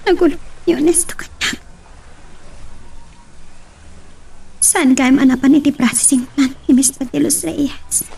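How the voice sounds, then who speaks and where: A woman's voice: high voice, creaky, warm tone. A woman acting a young lovelorn office worker and devoted daughter in an Ilocano radio drama, with an Ilocano accent. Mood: hurt, sorrowful.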